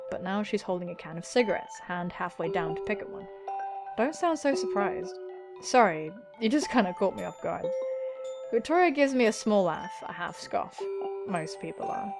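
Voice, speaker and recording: An excitable, giggly young woman reading a visual novel aloud and adding dramatic, sweary commentary as a gaming host. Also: medium pitch. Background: music.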